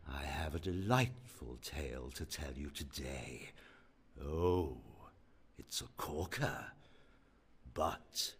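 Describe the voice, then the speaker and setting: A man playing a slow, low-voiced genteel horror host. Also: breathy edge, exaggerated voice. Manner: theatrical, florid, savouring words with long pauses. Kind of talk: spooky radio play narration.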